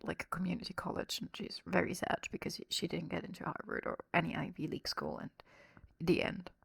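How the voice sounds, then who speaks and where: A woman in a whispered ASMR roleplay, telling a bedtime story in a soft, breathy, rambling, casual way as a quirky girl. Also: on the low side, fairly even intonation, brisk, no room sound. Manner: rambling, improvised teen-slang delivery. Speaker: ASMR roleplay performer and streamer.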